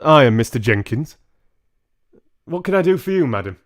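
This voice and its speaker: A man's voice: mid-range voice, sing-song, moderate pace, pitched above the performer's own voice, natural voice. A man voicing a large, coarse, easily irritated father in a brusque, pompous manner for a storybook. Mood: gruff, impatient.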